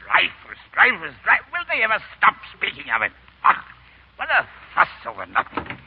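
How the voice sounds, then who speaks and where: An actor in a 1930s radio drama playing a grumbling, wryly dismissive French writer in a theatrical, muttering manner. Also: male voice, sits high, wildly swooping pitch, moderate pace. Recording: dull, hissy 1930s radio recording.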